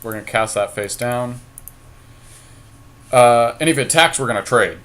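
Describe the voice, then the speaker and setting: A man's voice: mid-range voice, normal speed, fairly bright tone. A man giving casual, conversational commentary as he plays a card game as a podcast co-host.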